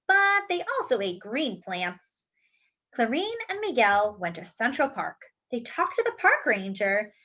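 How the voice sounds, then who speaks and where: A woman reading a children's picture book aloud in an expressive, careful, teacherly way. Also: fairly high pitch, highs cut off.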